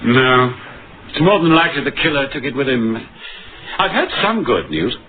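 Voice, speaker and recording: An actor playing a dogged, middle-aged police inspector in 1950s radio drama style. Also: male voice, medium pitch, big pitch swings, moderate pace, lightly acted. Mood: exasperated. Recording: muffled, noisy vintage radio broadcast.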